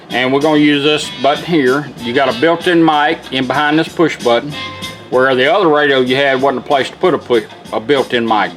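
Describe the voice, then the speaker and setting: A man giving a casual, folksy product demonstration as he walks through the controls of a device. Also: mid-range voice. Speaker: radio restorer demonstrating a product. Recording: music playing from a radio behind. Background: radio music with guitar.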